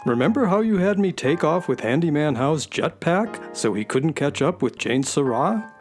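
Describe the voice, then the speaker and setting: An actor playing a wry, bluntly practical space horse sidekick in a children's radio play. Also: male voice, on the high side, big pitch swings, normal speed, faint music behind. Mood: wry, prodding.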